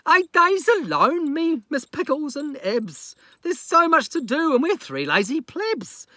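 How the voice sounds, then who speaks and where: A man's voice: very high-pitched, very animated, unhurried, bright, forceful tone, no room sound, studio quality, pitched above the performer's own voice, exaggerated voice. A man playing a lazy, scheming pirate captain in a children's story, declaiming in a theatrical, comic way. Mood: helpless, exasperated.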